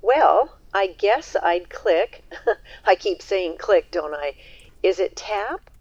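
A woman's voice: medium pitch, animated, slow, darkish tone, husky, dry recording, highs cut off. An actress playing a folksy, chatty older woman who is hesitant with technology, in an audio drama. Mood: puzzled, amused.

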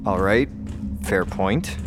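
An actor playing a wry, skeptical colony mechanic in an audio drama, talking in a blunt, casual, sarcastic way. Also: male voice, on the low side, takes it slowly, over music.

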